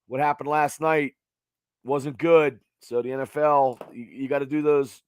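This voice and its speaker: A man hosting a casual sports podcast, hoarse from losing his voice, talking in a halting way with many fillers and restarts. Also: mid-range voice, steady tone, dry recording. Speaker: podcast host.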